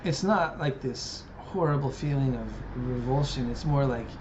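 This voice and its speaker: Man, late thirties, reflective vlogger, hesitant fillers, dull lo-fi sound with steady background noise. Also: medium pitch, a little slow. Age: 30s. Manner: musing, hesitant, many fillers. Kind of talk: reflective personal monologue. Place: living in Japan.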